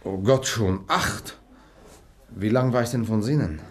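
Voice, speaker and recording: A man's voice: lowish voice, unhurried, a little hiss or hum, lightly acted. An actor playing a dry-witted male journalist held captive in a 1960s radio crime drama. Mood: dazed. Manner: wry, defiant, ironic.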